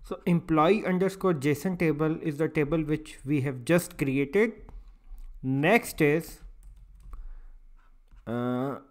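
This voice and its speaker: A man instructing step by step in a screencast tutorial, speaking in halting, piecemeal phrases and often checking in with an okay. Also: fairly high pitch. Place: voice-over for a screen recording.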